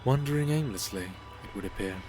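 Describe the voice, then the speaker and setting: An actor playing a polite, unflappable, eccentric reaper in an audio drama, speaking formally and with fussy precision. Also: male voice, mid-range voice, animated, a little mellow, faint music behind, close to an everyday voice. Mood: composed, explanatory. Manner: formal, measured, fussily precise.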